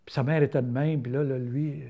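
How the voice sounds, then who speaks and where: An older man with a rural Quebec French accent, telling stories in a casual interview, folksy and halting, full of fillers and restarts. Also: mid-range voice, steady tone, warm tone. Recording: interview filmed on camera.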